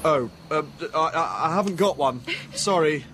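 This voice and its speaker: A well-schooled young English man in a comedy drama, naive and painfully polite. His fairly high voice halts and stammers its way through apologies. Clear background noise sits behind him. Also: animated, a bit bright. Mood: flustered, awkward. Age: young adult. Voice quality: halting, stammering. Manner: bumbling, apologetic.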